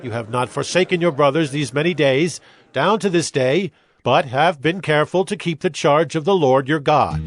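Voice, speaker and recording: An actor playing a commanding Israelite leader, earnest and declamatory, in a dramatized scripture reading. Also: male voice, on the high side, normal speed, dry recording, close to an everyday voice. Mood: solemn exhortation.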